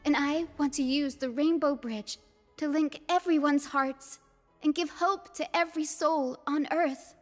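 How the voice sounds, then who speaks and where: Brief: a soft-spoken, earnest young sky maiden; a fairly high voice, lingering reverb, faint music beneath. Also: female voice, steady tone, measured pace. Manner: soft, earnest storyteller, rising to solemn invocation. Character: gentle earnest young woman of sky-dwelling lineage. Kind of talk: game cutscene voice acting.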